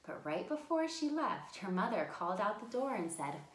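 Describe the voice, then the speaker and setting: A woman's voice: medium pitch, very animated, normal speed, reverberant, poor audio. A woman hosting a children's storytime, speaking simply and encouragingly as if to young children. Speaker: children's library storytime host.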